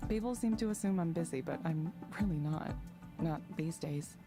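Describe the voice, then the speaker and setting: World-weary young tech woman for a visual novel: calm, understated, lowish pitch, music clearly behind. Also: normal speed. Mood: reassuring, subdued. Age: young adult. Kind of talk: naturalistic visual novel dialogue.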